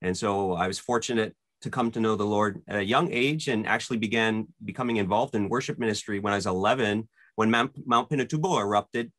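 A man's voice: on the low side, brisk, hardly any pauses, fairly bright tone, no echo. A man in his thirties from Malaysia and the Philippines, telling his story reflectively as an interview guest. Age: 30s. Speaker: interview guest, worship band member. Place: indoors at home, on a video call.

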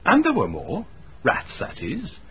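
Wry gentleman storyteller, muffled recording. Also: fairly high pitch, huge pitch swings, a little slow, husky, exaggerated voice. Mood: dryly amused. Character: genteel, witty gentleman recounting his eerie castle stay. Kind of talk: radio drama narration and acting. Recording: dull radio recording, faint noise.

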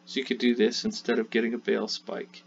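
A man hosting a casual gameplay tutorial, chatting in a relaxed way as he plays, with game sounds faintly behind. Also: mid-range voice, quick pace, gravelly, lo-fi sound, slight background noise. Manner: relaxed, chatty, talks while playing. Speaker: gaming channel host.

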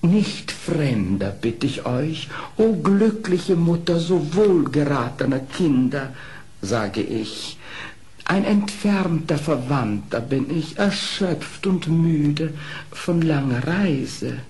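An actor playing a sly, smooth-talking fox who tells his adventures in a theatrical, courtly radio play manner. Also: on the high side, slow. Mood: flattering. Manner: theatrical, courtly, flattering storytelling. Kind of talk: German radio play acting. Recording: old audio play with faint noise.

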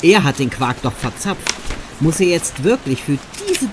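An actor playing a grumbling, unimpressed crook in a comic radio play, with a dry manner. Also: male voice, on the high side, big pitch swings, normal speed. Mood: exasperated. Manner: dry, complaining. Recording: clear rain noise behind voice.

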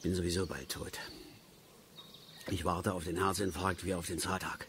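A man's voice: sits low, little pitch movement, moderate pace, very noisy, natural voice. An actor playing an embittered, touchy middle-aged male angler who rambles and grumbles irritably in a radio play. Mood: weary, grumbling. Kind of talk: German radio play acting.

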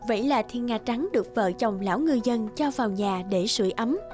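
A woman's voice: on the high side, fairly quick, a little mellow. A woman reading a scripted fairy tale as the narrator of a cartoon. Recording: music under the voice.

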